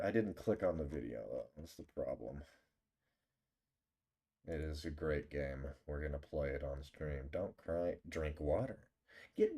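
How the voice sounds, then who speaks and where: Low-pitched, animated game streamer. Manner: playful, mock-dramatic, rambling. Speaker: livestreamer. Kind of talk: casual gaming stream, reading game text aloud.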